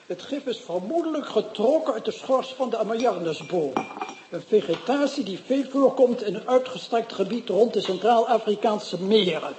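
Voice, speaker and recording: A fussy pedantic old-school professor. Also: male voice, sits high, animated, normal speed, a little mellow, slight background noise. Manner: pedantic, long-winded, irritable. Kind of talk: radio drama acting.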